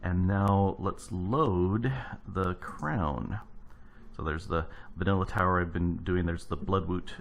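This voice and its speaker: A man casually commenting on his gameplay, his voice gravelly from early morning, rambling and thinking aloud as he builds. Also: low-pitched, slow, slight background noise. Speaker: let's play host.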